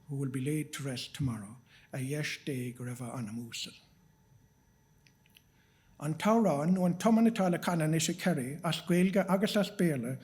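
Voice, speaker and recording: Male MC, Irish accent, notably high voice, formal measured delivery of prepared event introductions. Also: a little mellow. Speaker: master of ceremonies introducing performers.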